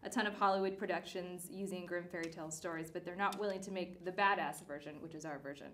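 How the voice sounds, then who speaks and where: A woman's voice: fairly low pitch, fairly even intonation, quick pace, a bit bright. A woman hosting a casual interview in a playful, informal way. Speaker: show host, interviewer. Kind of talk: casual live-stream interview. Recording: faint background noise, clicks.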